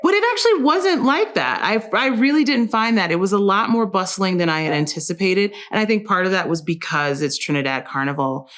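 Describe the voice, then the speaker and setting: A woman's voice: mid-range voice, animated, a little fast, few pauses, fairly bright tone. A woman interview guest telling anecdotes in a casual chat, often acting out quoted remarks.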